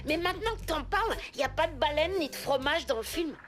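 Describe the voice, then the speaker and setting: A woman playing an enthusiastic, easygoing, geeky schoolkid in a French animated cartoon. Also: high-pitched, fast. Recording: music behind the voice. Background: cartoon music.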